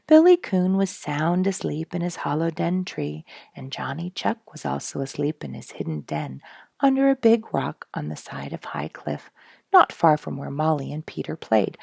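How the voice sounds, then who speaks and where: Female storybook reader, low and husky, expressive delivery, dry recording. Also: moderate pace, dry recording. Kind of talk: scripted children's story narration.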